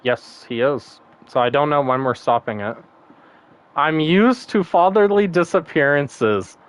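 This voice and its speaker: A man's voice: on the high side, animated, a little slow, clean tone. A man streaming a game, chatting casually and rambling, laughing often and swearing now and then. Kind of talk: casual gaming stream chat.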